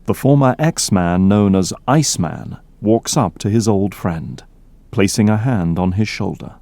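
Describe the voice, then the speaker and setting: Casting: male narrator for an audio drama, low dark tone, scripted descriptive delivery. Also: unhurried, no echo.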